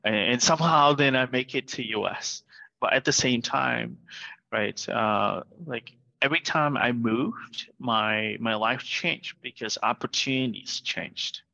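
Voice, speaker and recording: A man's voice: medium pitch, measured pace, vocal fry, sharp tone. A middle-aged man from Vietnam, a startup founder, speaking earnestly as an interview guest over a video call and often adding "right?". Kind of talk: remote interview. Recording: dull, lo-fi call audio.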